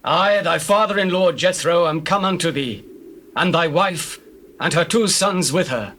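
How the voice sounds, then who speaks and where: An actor playing a wise, elderly biblical father-in-law in a solemn, measured scripture drama. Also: high voice, bright, forceful tone, slight background noise. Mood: formal greeting. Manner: solemn, measured, counselling delivery. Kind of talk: dramatized King James scripture acting.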